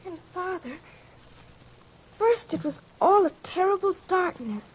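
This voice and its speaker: A woman's voice: very high voice, lively intonation, a little slow. An actress playing a tender, awed young girl in an old-fashioned radio drama. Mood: wondering. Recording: dull lo-fi radio drama recording.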